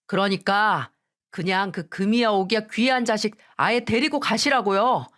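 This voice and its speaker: A woman's voice: medium pitch, moderate pace, a bit bright, close to an everyday voice. A woman voicing a cold, dismissive husband who answers his mother-in-law curtly and with irritation. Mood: irritated. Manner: curt, irritated.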